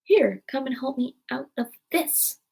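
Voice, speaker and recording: A woman voicing an angry, bossy male rabbit master in a storybook, with a sharp, commanding, scolding manner. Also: extremely high pitch, normal speed, pitched above the performer's own voice.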